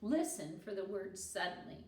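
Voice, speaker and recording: A woman's voice: medium pitch, animated, moderate pace, close to an everyday voice. A woman voicing a bossy, self-assured second-grade girl who tells stories in a confident, theatrical way. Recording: roomy echo with faint noise.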